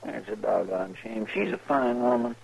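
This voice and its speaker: A noisy old radio recording of a folksy Western deputy, very creaky and animated in pitch. He drawls in a rural American way, earnest, respectful and soft-hearted. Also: moderate pace, exaggerated voice. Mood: regretful. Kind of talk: 1950s radio drama acting.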